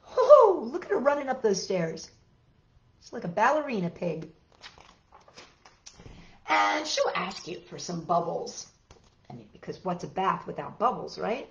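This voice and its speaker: A woman's voice: mid-range voice, sing-song, a little slow, creaky, pauses often. A woman hosting a children's storytime, reading aloud with exclamations and chatty asides addressed directly to young listeners. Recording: roomy, dull lo-fi sound, faint music.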